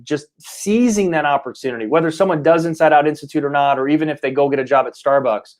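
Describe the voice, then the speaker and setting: A man's voice: fairly high pitch, quick pace. Man hosting a casual motivational interview. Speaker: interview show host and coaching institute founder.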